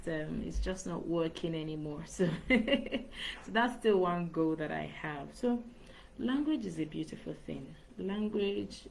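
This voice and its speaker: A woman's voice: on the low side, slight background noise, poor audio. A woman, a speaking coach talking live into her laptop microphone in an earnest way and repeating phrases for emphasis. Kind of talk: live-stream monologue.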